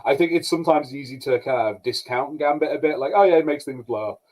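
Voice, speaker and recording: Regular guy voice, mid pitch, podcast cohost, casual fan talk. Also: quick pace, a little mellow. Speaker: podcast co-host.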